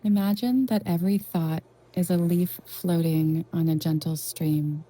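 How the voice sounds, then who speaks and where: A woman leading a guided meditation in a gentle, reassuring, unhurried way with long pauses. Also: lowish voice, steady tone, poor audio. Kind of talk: soothing guided meditation narration.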